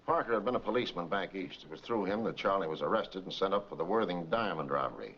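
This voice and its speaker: A man's voice: sits low, lively intonation, quick pace, fairly bright tone. An actor playing an authoritative, matter-of-fact male police captain in a 1940s crime film. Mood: explanatory. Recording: dull, noisy 1940s film soundtrack.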